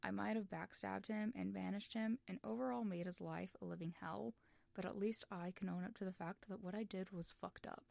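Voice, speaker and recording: A woman playing a blunt, unimpressed man, a former tyrant in a story, speaking softly and dryly. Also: mid-range voice, steady tone, normal speed, creaky, dry recording. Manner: dry, blunt, soft-spoken.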